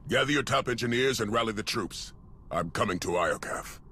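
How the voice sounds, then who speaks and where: An actor playing a stern, resolute supreme military commander in a video game, grave and authoritative in delivery. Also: male voice, mid-range voice, expressive, brisk, sharp tone, slight background noise. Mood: grim, decisive. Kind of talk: video game cutscene acting.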